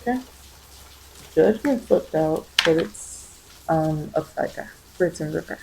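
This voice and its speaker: A tarot reader giving an improvised ASMR reading, rambling and musing, often halting and circling back to repeat phrases. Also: mid-range voice, expressive, gravelly, background noise.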